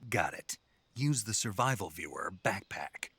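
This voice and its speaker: A man voicing a laconic special forces soldier with a husky voice and a dry, sardonic wit in a game. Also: lowish voice, lively intonation, measured pace, pushed and bright, slight background noise. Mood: wry. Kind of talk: game dialogue.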